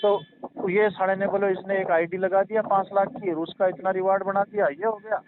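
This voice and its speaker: A man's voice: sits high, little pitch movement, fairly quick, crowd noise. A man explaining and reassuring in a rambling, repetitive, earnest way during a casual phone conversation. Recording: tinny phone call with faint noise.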